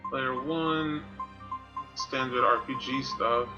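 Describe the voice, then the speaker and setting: A man's voice: mid-range voice, fairly even intonation, slow, lo-fi sound. Male game streamer, mid-range voice, offhand casual commentary, music playing behind. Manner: offhand, muttering to himself.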